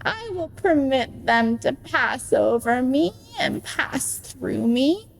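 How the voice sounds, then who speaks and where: A woman's voice: sits high, expressive, slow, vocal fry, a little hiss or hum, exaggerated voice. A woman playing a solemn mystic who intones like a ritual litany in a radio play. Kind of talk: incantatory radio play recital.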